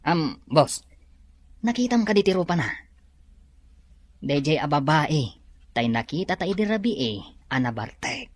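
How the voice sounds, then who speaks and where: A man's voice: fairly high pitch, animated, moderate pace, pauses often, no room sound. A man with a Filipino accent playing a sly, hesitant, teasing errand sidekick to a boss in a radio drama. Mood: curious, sheepish. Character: sly, giggly male errand sidekick deferring to his boss.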